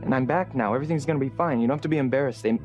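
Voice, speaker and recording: A man's voice: mid-range voice, rapid-fire, a little mellow, soft background music, close to an everyday voice. A man acting in a soap opera as an impulsive, earnest father, insistent and emotional. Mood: earnest, reassuring. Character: intense, demanding young father, impulsive and earnest.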